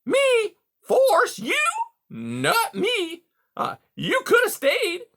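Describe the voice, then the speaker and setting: A smarmy, jovial male beaver, talks in a high, loud, swooping voice. He rattles off folksy sayings with an oily salesman's cheer, a gravelly edge under it. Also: wildly swooping pitch, extremely slow, heavily acted. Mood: smarmy hearty. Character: hearty, smarmy, big grinning male beaver.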